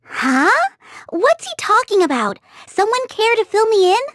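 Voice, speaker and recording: Squeaky-high, bossy little fairy guide. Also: female voice, very animated, unhurried, no echo, clean studio sound, heavily acted. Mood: puzzled. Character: tiny female fairy guide, brisk and bossy. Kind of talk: video game voice acting.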